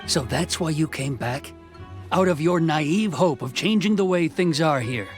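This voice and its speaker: Stern, reserved officer over music. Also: male voice, fairly high pitch, lively intonation, a little slow. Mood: stern, resigned. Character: stern, dutiful officer man, conflicted but soft-hearted. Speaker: video game character actor. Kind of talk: dramatic game dialogue acting. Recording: music behind the voice.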